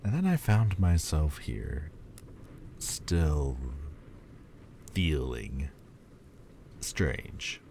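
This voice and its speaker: A man voicing the male Lord of Demons in an audio roleplay, teasing and playful, turning halting and hesitant. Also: very deep voice, big pitch swings, creaky, warm tone, slight background noise, exaggerated voice. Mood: wistful. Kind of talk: listener-directed audio roleplay.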